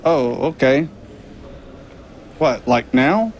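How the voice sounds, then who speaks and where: A man's voice: medium pitch, expressive, very slow, a little hiss or hum. An actor playing an earnest, flustered young man in an audio drama, speaking politely and hesitantly.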